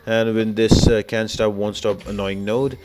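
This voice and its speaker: A man's voice: on the low side, level tone, a little slow, noisy background. A man giving informal, explanatory walkthrough commentary as the host of a video game guide.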